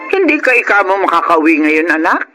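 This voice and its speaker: A performer playing a caring, inquisitive mother of a grown daughter, animated and expressive, in a Tagalog radio drama. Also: mid-range voice, a little fast, lightly acted. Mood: caring, surprised. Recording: faintly noisy radio drama audio.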